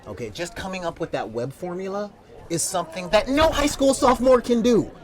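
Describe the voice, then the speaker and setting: A man's voice: high voice, animated, a little fast. A man talking emphatically and with strong opinions in a casual fan panel discussion. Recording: crowd chatter, wind on microphone.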